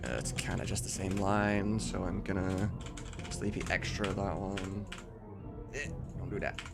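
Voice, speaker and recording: A man's voice: low voice, flat delivery, a little slow, gravelly. A man in his thirties chatting casually on a live stream, rambling and candid. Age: 30s. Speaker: live streamer, game dub maker. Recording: music playing behind the voice.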